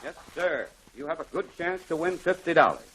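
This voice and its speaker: A man's voice: on the high side, expressive, brisk, a bit bright. A man playing a jovial, joking quizmaster on a 1940s radio comedy show. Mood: bantering. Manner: jovial, corny, chuckling. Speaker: quiz show host. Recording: faintly noisy 1940s radio recording.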